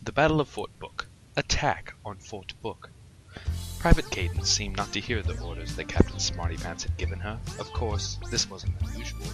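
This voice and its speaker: Male host, deep pitch, expressive fan fiction narration, background music. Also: moderate pace.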